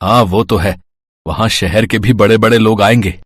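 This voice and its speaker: A man's voice: lowish voice, steady tone, normal speed, breathy edge, no echo. A man playing a village husband and small farmer in cartoon story dialogue. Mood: pleased.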